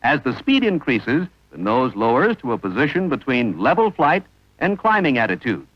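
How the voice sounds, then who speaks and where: A man's voice: mid-range voice, very animated, normal speed, no echo. Dull vintage film recording, male instructional narrator. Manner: formal, precise instructional delivery.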